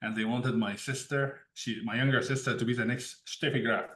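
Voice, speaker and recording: A man's voice: mid-range voice, steady tone, fairly quick, fairly bright tone. A man from Egypt, a tech founder telling his story as an interview guest in an easygoing way, often laughing between sentences. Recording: roomy echo.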